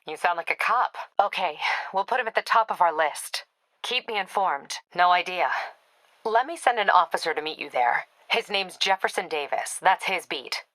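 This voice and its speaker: A woman's voice: on the low side, sharp tone, no echo. An American woman playing a tough, driven police captain in a video game, speaking in a clipped, authoritative, dry manner. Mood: businesslike, dry.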